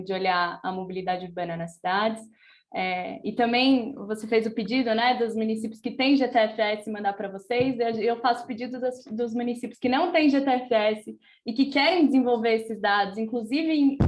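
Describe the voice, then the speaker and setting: Slightly high-voiced Brazilian woman moderating. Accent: Brazilian Portuguese. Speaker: round-table moderator. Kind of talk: semi-formal moderating, introducing speakers.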